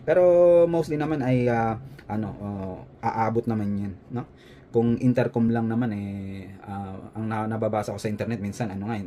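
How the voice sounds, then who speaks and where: A man's voice: on the low side, warm tone. Filipino man vlogging over noticeable background noise. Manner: conversational, often adds a tag "no?" to check in. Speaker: motovlogger presenting a product. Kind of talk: casual unboxing and product review, explaining as he goes.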